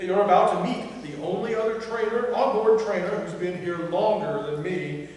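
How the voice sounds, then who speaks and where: A man reading a cheerful, fussy office trainer, high and echoey. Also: normal speed, darkish tone, lightly acted. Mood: upbeat. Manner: upbeat and chatty. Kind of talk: table reading of a play. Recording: echoey hall, far from the mic.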